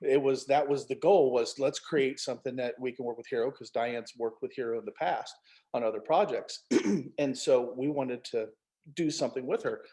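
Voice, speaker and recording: A man's voice: mid-range voice, moderate pace, mellow. A man being interviewed as a co-author, chatting casually in an emphatic, wordy, joking way.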